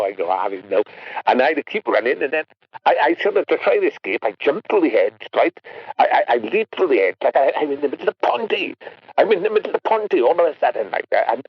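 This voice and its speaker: A man playing a rambling South Welsh caller with a husky voice who keeps calling people boy and repeats himself. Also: medium pitch, no room sound, lo-fi sound. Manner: rambling, repetitive, calls everyone boy. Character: rambling Welsh male caller haunted by a sausage nightmare.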